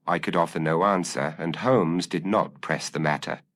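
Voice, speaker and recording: A man narrating a scripted gothic mystery story in a measured, theatrical, formal storytelling manner. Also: low voice, a bit bright, no echo. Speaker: audiobook narrator.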